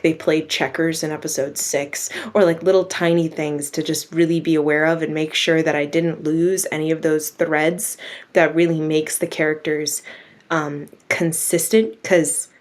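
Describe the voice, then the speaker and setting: A woman's voice: deep voice, a little mellow. A woman, the writer of a radio drama, talking casually in an interview and often laughing. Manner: chatty, laughing, acts out quoted exchanges. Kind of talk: interview conversation.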